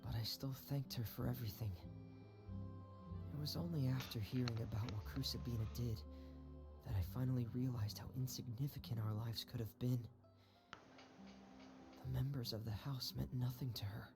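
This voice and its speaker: An actor voices a shy, earnest young man from a video game, speaking in a soft, measured and solemn way. His voice carries a gravelly, fry-tinged texture at a mid pitch. Game music plays behind him. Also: fairly even intonation, close to an everyday voice. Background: game soundtrack music.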